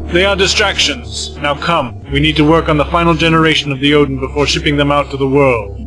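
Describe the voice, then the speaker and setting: Casting: male actor as arrogant, silky arch-villain for audio drama, lightish pitch, mocking politeness, music underneath. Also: brisk, bright, forceful tone, poor audio. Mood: cool, commanding. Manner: smooth, condescending, mock-courteous.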